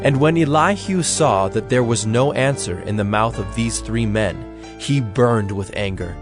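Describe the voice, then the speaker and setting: A man narrating scripture from a script in a measured, formal storytelling manner. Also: on the low side, over music.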